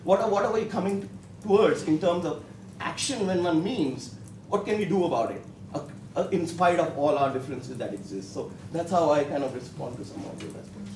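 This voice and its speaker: Indian-accented male professor, measured panel answers, slightly high voice, distant room mic. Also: lively intonation, noisy background. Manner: measured, many fillers and restarts. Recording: echoey hall, mic from far off.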